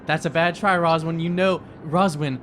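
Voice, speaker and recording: A man's voice: fairly high pitch, fairly even intonation, moderate pace, a bit bright, a little hiss or hum. A man playing a tabletop game, casually bantering and speaking for his character.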